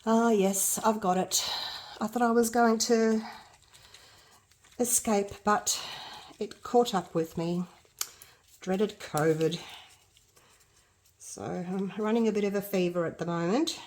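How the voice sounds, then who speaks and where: A woman's voice: medium pitch, slow pace, pauses often, darkish tone. Chatty Australian woman with a blocked nose. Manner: chatty, self-deprecating, thinking aloud while working. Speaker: resin art tutor. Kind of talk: casual craft tutorial.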